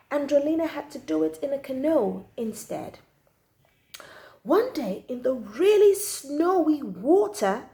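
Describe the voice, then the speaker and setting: A woman's voice: sits high, dark tone. A woman reading a children's story aloud expressively, drawing out key words for emphasis.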